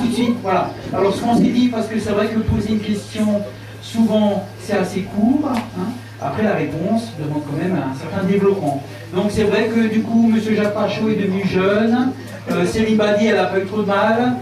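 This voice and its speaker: A man moderating a round-table debate, hesitating often with fillers. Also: high voice. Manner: many fillers, repeats "voilà". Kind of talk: hesitant welcoming speech. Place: large echoey hall. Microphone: handheld mic, heard from afar. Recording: echoey hall, distant, noisy.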